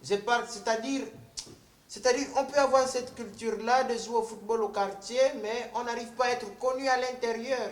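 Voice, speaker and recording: A man's voice: very high-pitched, steady tone, normal speed. A man playing a self-important pundit who rambles and repeats himself. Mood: earnest. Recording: echoey room, faint noise.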